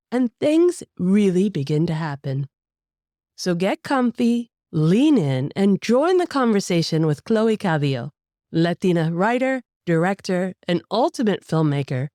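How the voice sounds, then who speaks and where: A woman's voice: fairly low pitch, no echo, studio quality. A woman hosting a podcast, delivering a scripted, earnest introduction with emphatic phrasing and then asking interview questions. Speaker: podcast host.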